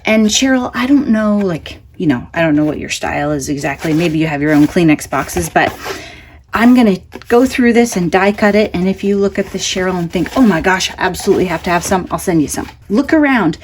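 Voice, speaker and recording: A woman's voice: fairly low pitch, lively intonation, a little fast, a little hiss or hum. A woman with a congested voice gives a casual, chatty craft update as host, rambling conversationally with self-joking asides. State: congested from allergies.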